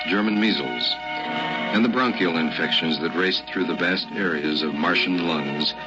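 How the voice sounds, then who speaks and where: An actor playing a grown man recounting a boyhood ordeal, narrating an old-time radio drama in a grave, measured way. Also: low-pitched, lightly acted. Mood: somber. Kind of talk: scripted first-person radio drama narration. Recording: dull old radio recording. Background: loud dramatic music.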